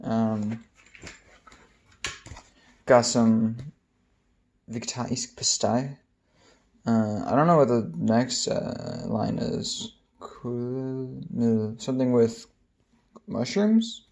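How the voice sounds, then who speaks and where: Young guy rambling thru a travel vlog, kinda gravelly voice, lots of ums. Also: medium pitch, extremely slow, long pauses. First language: English. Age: young adult. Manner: relaxed, rambling, many ums. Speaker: vlogger, exchange student. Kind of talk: casual vlog narration.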